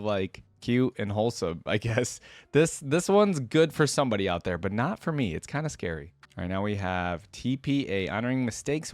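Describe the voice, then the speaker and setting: A man's voice: medium pitch, a little mellow, no echo. A man giving a casual, upbeat reaction commentary, informal and thinking aloud as he goes. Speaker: reaction video host. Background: faint music.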